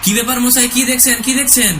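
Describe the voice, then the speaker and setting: A man playing an excited, baffled audience member in an audio drama, urgently repeating himself. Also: very high-pitched, quick pace, a little hiss or hum. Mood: agitated, astonished. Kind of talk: audio drama crowd acting.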